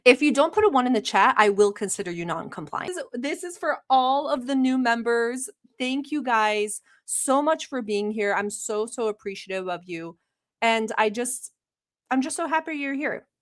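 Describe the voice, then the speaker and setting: A woman's voice: a little high, expressive, moderate pace. A young woman born in Turkey, Turkish her first language, hosting a casual livestream, chatty and teasing, laughing often and swearing casually. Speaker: livestream host. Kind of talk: casual livestream chat reacting to a video.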